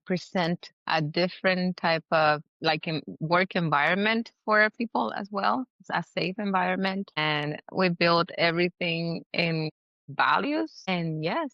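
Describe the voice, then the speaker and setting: Woman, somewhat low voice, casual interview chat, slightly muffled dry recording. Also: a bit bright, no room sound. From Guatemala. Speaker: podcast guest, business owner.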